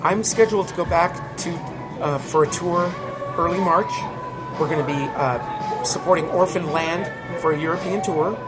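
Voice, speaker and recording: A man's voice: fairly high pitch, moderate pace, loud music behind the voice, poor audio. A man with an American accent, a touring musician chatting candidly in an interview and laughing often. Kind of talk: casual interview chat.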